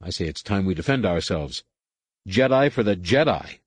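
A man's voice: mid-range voice, lively intonation, moderate pace, darkish tone, no echo, pitched above the performer's own voice, natural voice. A man reading aloud, voicing an angry, defiant middle-aged male warrior-monk who argues in a heated, rising way. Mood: impassioned.

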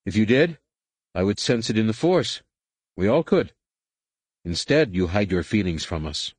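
A man's voice: fairly low pitch, very animated, moderate pace, darkish tone, husky, dry recording. A man reading aloud, voicing an angry, defiant middle-aged male warrior-monk who argues in a heated, rising way. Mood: impassioned.

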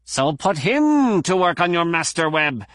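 A gruff alien doctor with long green arms, impatient and growling, as a man puts him on. The voice sits well above a plain speaking register and drives each complaint hard. It is recorded dry and close. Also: a little high, lively intonation, moderate pace, fairly bright tone, no room sound, voice pushed up.

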